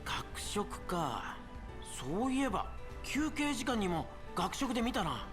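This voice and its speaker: A man's voice: high voice, big pitch swings, normal speed. A man playing a brash, bossy teenage schoolboy in a Japanese video game, with blunt casual speech. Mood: eager. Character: brash teenage schoolboy, pushy games-loving friend. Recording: game audio over music.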